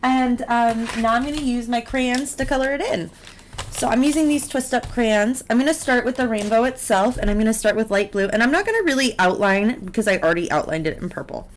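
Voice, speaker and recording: Unhurried woman art teacher, noisy recording. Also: a little high. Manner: encouraging, unhurried, talking through each step while drawing. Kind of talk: casual step-by-step drawing tutorial. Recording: clear background noise, drawing sounds.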